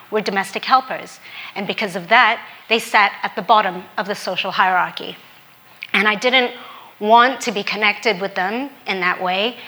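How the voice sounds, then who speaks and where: A woman's voice: mid-range voice, bright, forceful tone. Earnest young woman from Hong Kong. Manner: earnest, reflective, candid. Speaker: storyteller. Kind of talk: personal story talk.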